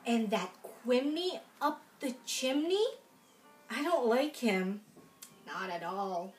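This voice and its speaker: A young adult woman reading aloud at a children's storytime, expressive and sing-song, with little asides to young listeners. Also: a little high. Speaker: children's storytime reader in princess costume. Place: small room at home, indoors.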